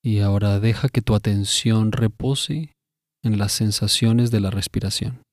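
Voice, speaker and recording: A man narrating a scripted guided meditation in a measured, instructive way, pausing between phrases. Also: on the low side, steady tone, darkish tone, no room sound, clean studio sound.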